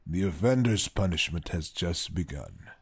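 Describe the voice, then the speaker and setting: A man voicing a cold, self-righteous village elder with a gravelly voice in an audio drama. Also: sits low, animated, moderate pace, exaggerated voice. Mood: stern. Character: stern male village elder, zealous punisher.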